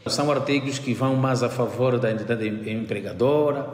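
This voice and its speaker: A man with an Angolan accent giving an interview statement as a trade unionist, halting and repeating words. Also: medium pitch. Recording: echoey reverberant room.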